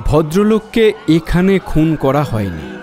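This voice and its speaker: An actor playing a polite, sharp, self-assured amateur detective in an audio drama, speaking in a measured, quietly probing way. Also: male voice, on the high side, big pitch swings, a little hiss or hum. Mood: reasoning, assured.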